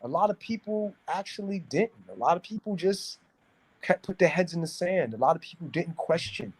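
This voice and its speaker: Halting man. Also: high voice, expressive, slightly rough. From New York City, United States. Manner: halting, repeats words, searching for phrasing. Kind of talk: casual discussion, opinionated talk.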